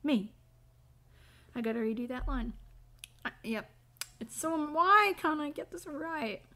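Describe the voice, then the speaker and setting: A woman's voice: high voice, animated, normal speed, sharp tone. A woman recording amateur voice acting outtakes, theatrical and mock-exasperated, often breaking off from her script. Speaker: amateur voice actor. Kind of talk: blooper outtakes between script reads.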